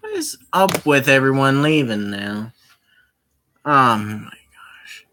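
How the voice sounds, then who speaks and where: A game streamer of unclear sex reading a visual novel aloud, halting and explaining who is speaking or thinking. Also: medium pitch, sing-song, sharp tone, a little hiss or hum. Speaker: gaming streamer. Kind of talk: live gaming commentary, reading visual novel dialogue aloud.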